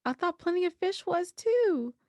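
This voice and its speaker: A woman's voice: extremely high pitch, lively intonation, moderate pace, dark tone, dry recording, studio quality. A woman guesting on a podcast, chatting casually in a playful, sassy, joking manner. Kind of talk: casual chat.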